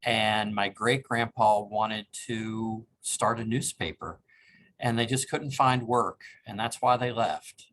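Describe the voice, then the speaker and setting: A man in his sixties with a lowish voice recalls his family's past in an oral history interview. He speaks politely and reflectively, pausing often with ums. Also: steady tone, a bit bright. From Cincinnati, Ohio. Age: 60s. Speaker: interviewee, reference librarian.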